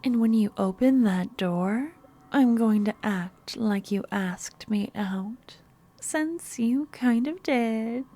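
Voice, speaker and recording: A woman playing an obsessive young stalker in an ASMR roleplay, sweet yet menacing, speaking softly and often dropping to a whisper. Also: on the high side, slow pace. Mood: possessive, adoring.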